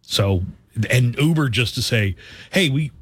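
A comedian guest in a studio, riffing sarcastically in casual banter. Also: male voice, unhurried, slightly rough, no echo. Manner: joking, sarcastic riffing with a lively pitch. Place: radio studio.

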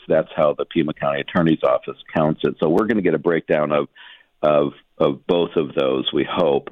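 Very deep-voiced man on a phone-like line. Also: no room sound. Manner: explanatory, measured, hesitant phrasing. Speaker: newspaper editor, radio guest. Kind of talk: conversational news discussion. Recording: muffled narrow phone-like line.

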